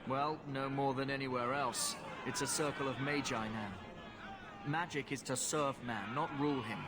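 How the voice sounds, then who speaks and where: A man playing a gruff, scornful city guardsman in a fantasy video game, curt and dismissive. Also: medium pitch, steady tone, normal speed, natural voice. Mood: matter-of-fact. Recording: noisy outdoor crowd ambience.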